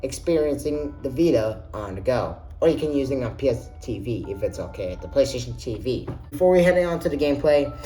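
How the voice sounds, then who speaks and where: A man in casual, excited vlog mode walks through a game console's menus. He talks loosely, trips over his phrasing and restarts often. His voice sits at a middle pitch, with soft music playing faintly behind him. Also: brisk, hardly any pauses, poor audio. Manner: rambling, enthusiastic, frequent restarts. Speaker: gaming YouTuber. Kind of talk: casual menu walkthrough.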